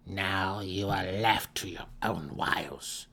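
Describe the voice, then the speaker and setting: A man voicing a withered, commanding old dark elf matriarch in a fantasy audiobook, raspy and gravelly, with a slow, imperious, cold and wrathful delivery. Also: low-pitched, full character voice. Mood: stern, menacing.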